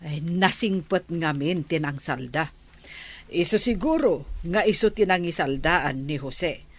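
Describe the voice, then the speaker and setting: A woman's voice: low-pitched, animated, measured pace. A woman playing a chatty, reproving middle-aged village woman in a radio comedy drama. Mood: gossipy.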